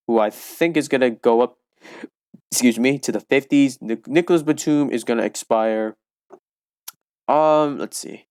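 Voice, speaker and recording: A young man with a loud voice giving casual game commentary, rambling and restarting his sentences often. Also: mid-range voice, no room sound, clean studio sound. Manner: rambling with frequent restarts and fillers. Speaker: gaming commentator.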